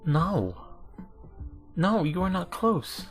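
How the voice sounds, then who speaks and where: A man's voice: high voice, very animated, drawn-out words, heavily acted. A man playing a blunt, unimpressed male mentor in a fan dub, curt and dry in manner. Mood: dry, dismissive. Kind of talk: fan dub visual novel acting. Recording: music behind the voice.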